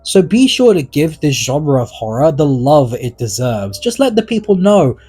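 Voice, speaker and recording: A man's voice: a little high, big pitch swings, moderate pace, dark tone, breathy edge. A man narrating a scripted video essay in an earnest way, leaning on words for dramatic emphasis. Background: background music.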